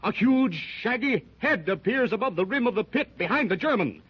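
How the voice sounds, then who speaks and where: A man's voice: sits high, expressive, normal speed, slightly rough. A man narrating a 1930s radio adventure serial in a vivid, suspenseful style. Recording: dull lo-fi 1930s radio transcription.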